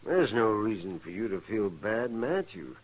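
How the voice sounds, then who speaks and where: An actor playing a sombre, consoling frontier doctor in a radio western, on a slightly noisy old recording. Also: male voice, on the low side, sing-song, measured pace, breathy edge, full character voice.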